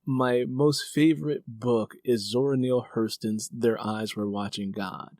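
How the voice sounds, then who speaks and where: A man's voice: mid-range voice, fairly even intonation, unhurried, a little mellow, dry recording. A man interviewing as host and fellow writer, conversational and effusive, repeating words for emphasis.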